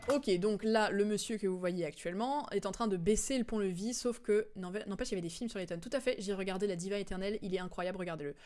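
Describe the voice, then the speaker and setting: A woman streamer talks through a game's story in a loose, chatty way. She has a medium-pitched voice and drifts off into asides, casual and giggly. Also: fast talker, hardly any pauses, darkish tone. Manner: chatty, digressive, giggly, acts out quotes in slang.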